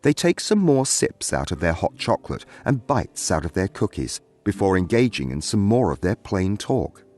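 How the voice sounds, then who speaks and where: Deep, expressive male storyteller. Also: normal speed, hardly any pauses. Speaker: story narrator. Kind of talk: scripted fantasy story narration. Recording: music behind.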